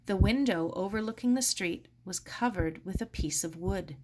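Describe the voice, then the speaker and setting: A woman reading a children's novel aloud as narrator, in a measured, expressive storytelling manner. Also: mid-range voice, noisy background. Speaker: story reader.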